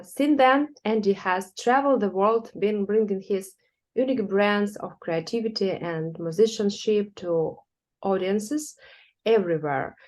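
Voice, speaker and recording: A woman from Ukraine, hosting her own interview channel and speaking in halting sentences with many fillers. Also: mid-range voice, a little mellow. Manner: halting, filler-heavy speech. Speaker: channel host. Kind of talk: interview host.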